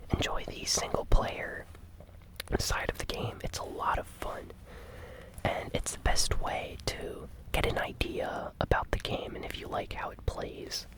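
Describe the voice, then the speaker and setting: A host whispering an ASMR gaming news recap in a breathy, unhurried, hushed and gently enthusiastic way.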